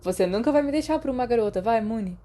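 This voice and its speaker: A woman with a Brazilian Portuguese accent voicing a brash, earnest teenage schoolboy in an audiobook, with an excitable, emphatic manner. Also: fairly high pitch, fast, pitched above the performer's own voice, close to an everyday voice. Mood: teasing.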